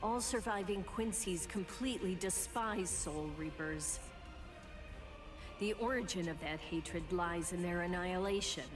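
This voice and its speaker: A woman playing a stern, composed young female soul reaper in an English anime game dub, speaking in a measured, serious way. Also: on the low side, distant mic, natural voice. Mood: grave. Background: loud game music.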